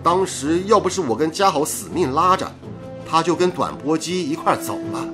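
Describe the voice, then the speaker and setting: A man's voice: a little high, expressive, normal speed, music in the background, natural voice. An actor playing a teasing, carefree young village-born man in a radio drama, speaking with playful exclamations. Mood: protesting. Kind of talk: Mandarin radio drama acting.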